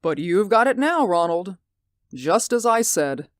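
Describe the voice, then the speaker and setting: A nervy, obsessed radio-play man who stammers and pleads, his voice swinging widely up and down. He talks slowly, and the sound is close and dry, as in a 1940s radio drama. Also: high voice, very animated, dry recording. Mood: sly. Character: jittery, obsessed man haunted by a neighbor's window. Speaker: actor.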